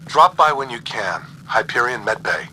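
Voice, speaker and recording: A man playing a calm, matter-of-fact human ship's doctor in a video game, calling informally over the comm. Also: medium pitch, normal speed, a little hiss or hum, poor audio. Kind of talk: video game dialogue acting.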